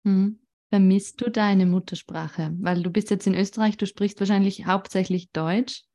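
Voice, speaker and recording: A woman's voice: medium pitch, fairly even intonation, normal speed, warm tone. A woman hosting an interview, attentive and affirming as she asks her guest questions. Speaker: podcast host.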